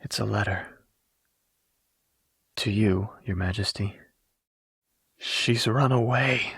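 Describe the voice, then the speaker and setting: A man's voice: fairly low pitch, slow pace, creaky, fairly bright tone, breathy edge, no room sound, heavily acted. A man playing a dutiful, anxious pony guard, formal and deferential, in a fantasy radio drama. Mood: dismayed. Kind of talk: fan-made fantasy radio drama acting.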